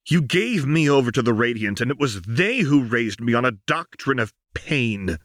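A man's voice: medium pitch, animated, normal speed, fairly bright tone, no room sound, clean studio sound, pitched above the performer's own voice, natural voice. A man voicing a proud, defiant young noblewoman soldier who speaks in a cold, cutting, indignant way.

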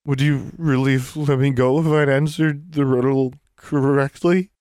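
A man's voice: medium pitch, steady tone, a little slow, darkish tone, dry recording, studio quality, voice pushed up, natural voice. Dude doing a cold shaky teen girl, stammers, sassy and defiant. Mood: indignant. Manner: stammering, indignant. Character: cold, shivering teenage girl prisoner, defiant. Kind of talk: audiobook character voice.

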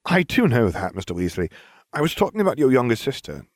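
A man's voice: mid-range voice, very animated, fast, no echo, studio quality, pitched above the performer's own voice. A man voicing a worried, polite adult schoolteacher in an audiobook, with an urgent manner. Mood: concerned.

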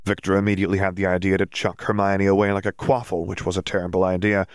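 A man's voice: sits low, flat delivery, fast talker, clear, resonant tone, no echo, clean studio sound. A man reading scripted fiction aloud as an audiobook narrator.

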